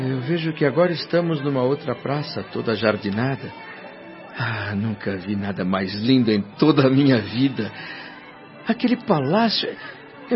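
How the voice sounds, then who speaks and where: An actor playing a curious, awestruck doctor newly arrived in the afterlife, in radio soap style. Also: male voice, medium pitch, close to an everyday voice. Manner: wondering, questioning, theatrical. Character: awestruck, curious newly dead doctor visiting a spirit city. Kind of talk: Brazilian radio soap acting. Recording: dull radio drama with music behind.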